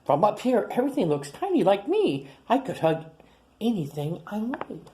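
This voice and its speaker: A woman's voice: medium pitch, big pitch swings, moderate pace, mellow, slight background noise, pitched above the performer's own voice, close to an everyday voice. A woman voicing a tiny, earnest young male dinosaur in a read-aloud children's story. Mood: worried.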